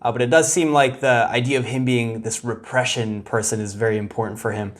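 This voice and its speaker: A man's voice: mid-range voice, fairly even intonation, brisk. A young man hosting a casual reaction commentary, talking loosely with fillers and frequent laughs. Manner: casual, hesitant, laughs often. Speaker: commentator, video host.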